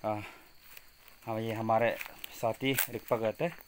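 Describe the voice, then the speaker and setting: A man with a Northeast Indian accent narrating casually on location outdoors at a cave, in halting, repetitive, simple phrases. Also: on the low side, fairly even intonation, background noise. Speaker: vlogger exploring a cave. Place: outdoors at a forested cave mouth. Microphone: handheld phone.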